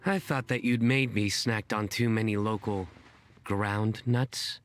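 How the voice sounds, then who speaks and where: An actor playing a young adventurer who tells bad puns in a dry, deadpan way in a game. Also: male voice, on the low side, unhurried, a little hiss or hum, close to an everyday voice. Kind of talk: game voice acting.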